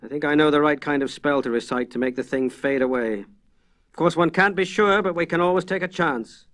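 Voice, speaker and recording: A man's voice: fairly high pitch, a little fast, fairly bright tone, voice pushed up, close to an everyday voice. A man voicing a decisive old librarian in a horror audiobook, urgent and reassuring as a leader. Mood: resolute, urgent. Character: resolute old scholarly librarian rallying frightened men.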